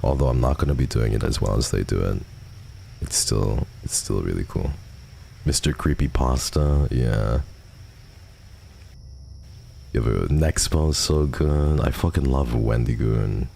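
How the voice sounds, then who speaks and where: A man's voice: extremely low pitch, moderate pace, gravelly, warm tone, breathy edge. A man hosting a livestream, chatting casually and answering viewer messages at a close microphone. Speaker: livestream host. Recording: faint background noise.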